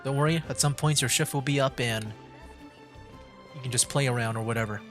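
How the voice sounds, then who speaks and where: A man's voice: medium pitch, fairly quick, fairly bright tone, soft background music. A man hosting a game livestream with casual commentary, musing aloud in a rambling way. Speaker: livestream gamer host.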